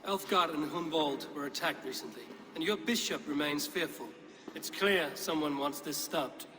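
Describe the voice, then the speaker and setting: An actor playing a stern, steady Viking warrior in a game cutscene, speaking in a blunt, measured, weighty way. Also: male voice, a little high. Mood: firm, guarded. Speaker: video game lead character. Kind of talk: dramatic game cutscene acting.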